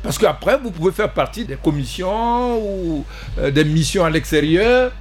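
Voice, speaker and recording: A man from the Central African Republic, a party president speaking as a guest in a panel debate, halting, repeating words and pressing his points. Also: sits high, animated, slight background noise. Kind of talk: panel talk show debate.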